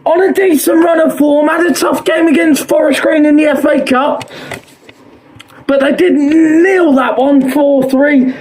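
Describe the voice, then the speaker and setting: A man with a hoarse, fading voice hosts his own show, predicting football scores in a chatty, repetitive way and filling pauses with "uh". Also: extremely high pitch, fairly even intonation, a little mellow, a little hiss or hum. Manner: chatty, quotes odds, repeats himself, frequent "uh".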